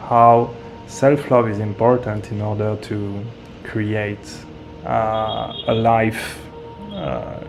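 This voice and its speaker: A man from France, a guest speaking in a slow, hesitant way and searching for his words. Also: lowish voice, a little mellow. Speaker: interview guest, medium and author. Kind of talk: casual interview talk.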